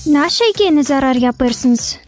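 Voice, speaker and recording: A cheerful young cartoon character with a high voice. The delivery is eager and instructive, briskly explaining, with music playing behind it. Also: female voice, animated. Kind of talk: animated cartoon acting with call-and-response chanting.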